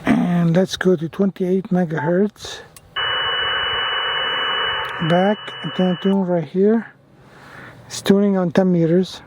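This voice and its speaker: A man's voice: sits high, fairly even intonation, measured pace, very noisy. A man chatting casually as an amateur radio vlogger, effusive and given to repeating himself. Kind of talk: casual radio chat and hands-on commentary.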